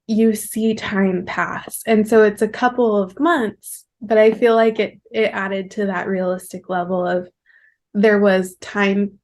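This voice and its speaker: A woman speaks in an average pitch as co-host of a casual book discussion. She sets out her thoughts in a measured, explanatory manner, conversational throughout. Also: a little mellow. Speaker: book review show co-host.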